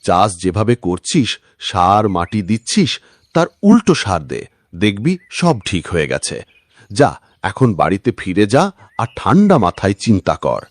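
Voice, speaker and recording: A man's voice: on the low side, big pitch swings, moderate pace, no room sound. A man voicing a kindly old village uncle who counsels in an instructive way, in cartoon style. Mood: calm, advising. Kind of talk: Bengali cartoon voice acting.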